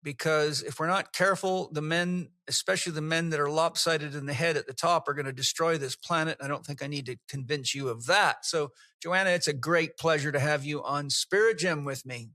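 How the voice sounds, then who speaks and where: Enthusiastic bright-voiced male podcast host. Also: fairly high pitch, fairly quick, hardly any pauses, no echo. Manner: enthusiastic, effusive. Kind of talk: podcast interview.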